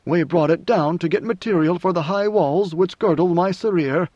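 A man voicing an ancient, brooding male God Emperor who speaks in a slow, measured way, with weary authority. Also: high voice, darkish tone, no room sound, voice pushed up. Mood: musing. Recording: dry, slightly muffled recording.

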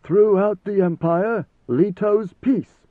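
A man voicing an ancient, godlike ruler who speaks in a calm, knowing, lordly and deliberate way, as in an audiobook. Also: sits high, voice pushed up. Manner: measured, deliberate, formal. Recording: slightly roomy, phone-like narrow sound.

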